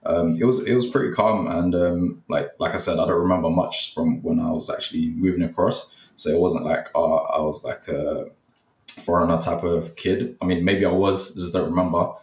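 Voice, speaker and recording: A young man from Nepal talks casually in a very deep voice, wandering through his answers with plenty of fillers and restarts. He sits back from the microphone in a roomy, echoing space. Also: fairly even intonation, moderate pace, gravelly, a little mellow, recorded from a distance. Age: young adult. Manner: rambling, many fillers, hesitant. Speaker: guest, content creator. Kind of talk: casual interview chat. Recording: echoey, from off the mic.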